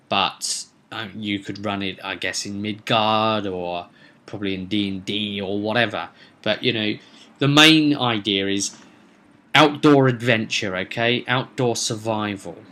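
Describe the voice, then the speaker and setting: Casting: male game master for an informal vlog, forceful bright tone, digressive and halting delivery. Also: medium pitch. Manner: rambling, digressive, halting with self-corrections. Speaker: game master recapping his roleplaying sessions.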